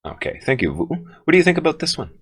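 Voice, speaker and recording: A man with a low, deep bass voice teaches in a conversational way, speaking deliberately and encouragingly as he thinks aloud. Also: sing-song, a bit bright, husky.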